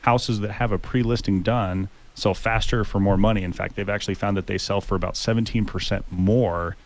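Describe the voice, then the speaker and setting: A man with a deep voice, a home inspector talking as a guest on a radio talk show. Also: steady tone, fairly quick.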